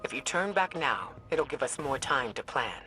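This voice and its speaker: A cautious female commander for a video game. Her voice is bright and clear-edged, and she speaks with grave, measured care. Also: extremely low pitch, animated, exaggerated voice. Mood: worried, cautious. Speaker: commander advising over comms.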